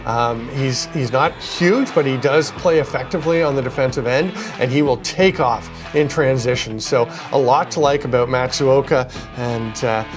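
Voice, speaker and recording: A man giving draft analysis, halting with many ums. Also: mid-range voice, music in the background. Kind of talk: sports commentary.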